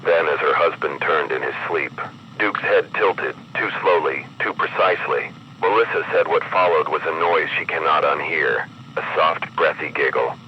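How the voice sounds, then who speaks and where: A man with an extremely low voice narrates a horror-style emergency broadcast. He is grave and measured, with an ominous announcer's delivery. The sound is dulled, with clear noise humming behind him. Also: highs cut off.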